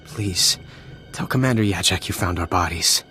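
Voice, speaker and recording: An actor playing a desperate, war-weary deserter soldier in a video game, stammering and pleading nervously. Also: male voice, deep voice, moderate pace, pushed and bright, faint music behind. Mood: stunned, pleading. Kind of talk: dramatic video game acting.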